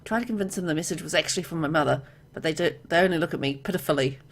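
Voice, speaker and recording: Low-voiced woman reading a game story aloud. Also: racing. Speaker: gamer reading a visual novel aloud. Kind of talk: let's play narration, reading game text aloud with casual asides.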